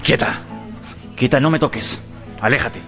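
An actor playing an agitated, indignant gentleman in a radio drama, with a vehement, dramatic delivery. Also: mid-range voice, normal speed, natural voice. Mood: agitated, angry. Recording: reverberant hall sound over music.

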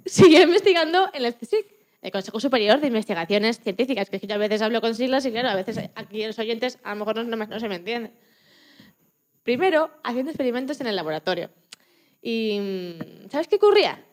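Woman playing a high-voiced, chatty Spanish scientist. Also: brisk, close to an everyday voice. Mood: enthusiastic. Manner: expressive, chatty, self-correcting. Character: chatty, proud, enthusiastic woman Antarctic scientist. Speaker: interview guest.

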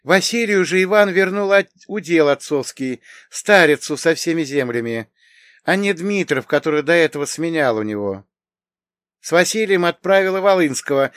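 Imperious middle-aged aunt of noble birth played by a male narrator: raised pitch, haughty, commanding, dry audiobook sound. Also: fairly bright tone, dry recording. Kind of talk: acted audiobook dialogue.

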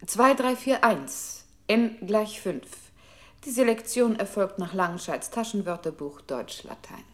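An actress playing a computer's impersonal control voice in a radio play, announcing commands and technical terms with formal precision. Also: female voice, mid-range voice, animated, a little slow, a little mellow, natural voice. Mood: clinical, impersonal.

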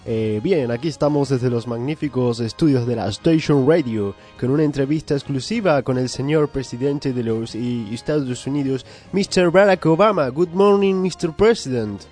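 A man's voice: medium pitch, normal speed, few pauses, a little mellow, faint music behind, close to an everyday voice. A man playing a pompous, fussy foreign correspondent in a parody news interview, mock-formal and dropping English words into Spanish.

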